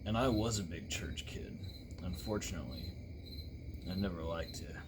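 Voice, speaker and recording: Man playing a low-voiced shock-rock talk show host. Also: normal speed, heavy background noise, close to an everyday voice. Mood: measured, curious. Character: impression of a dark male shock-rock star hosting a show.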